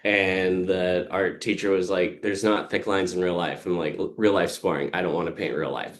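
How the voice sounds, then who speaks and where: Male painter from California, very deep voice, lively storytelling. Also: moderate pace, slight background noise. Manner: lively storyteller, acts out quotes. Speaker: painter at a meetup. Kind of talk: casual anecdote.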